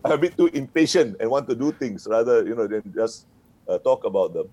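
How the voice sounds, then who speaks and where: A man's voice: mid-range voice, expressive, a little fast, dark tone, poor audio. A man, a retired diplomat answering interview questions in a frank, wry way, often chuckling. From Singapore. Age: 60s.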